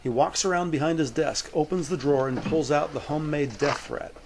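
A man narrating a crime audio drama from a script, measured and descriptive. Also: fairly high pitch, noisy background. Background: music.

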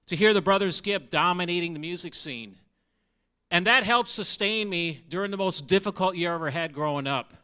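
A man's voice: sits high, pushed and bright, clean tone. A man in his forties with a Minnesota accent, giving his testimony from a church stage on a handheld mic in an earnest, conversational way. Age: 40s. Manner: earnest and conversational, asks the audience questions. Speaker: missionary. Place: large church sanctuary with audience. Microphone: handheld microphone.

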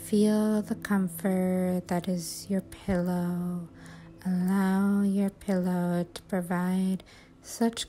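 A woman guiding a sleep hypnosis and meditation in a soothing, unhurried, measured way. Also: medium pitch, mellow. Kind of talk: guided sleep hypnosis, affirmations. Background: music.

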